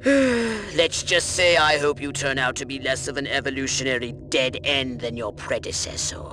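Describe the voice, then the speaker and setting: A man's voice: mid-range voice, normal speed, noisy background, close to an everyday voice. A man voicing a haughty, exasperated alien scientist in a video game, with a pompous, condescending, theatrical delivery. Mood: scornful, exasperated. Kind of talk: video game cutscene acting. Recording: music behind.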